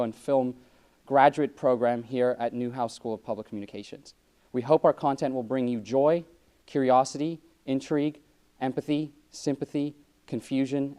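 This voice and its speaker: A man's voice: medium pitch, dark tone. A young man hosting a college TV interview, formal and slightly stiff, partly reading a script. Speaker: student host.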